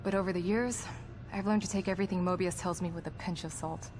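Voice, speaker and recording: A woman's voice: lowish voice, fairly even intonation, fast, darkish tone, close to an everyday voice. Actress as guarded lady psychologist in a video game, precise n clinical, composed, music behind her. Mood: calm, frank. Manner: precise, clinical, formal vocabulary. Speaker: psychologist character in a horror game. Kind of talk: video game cutscene acting. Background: background music.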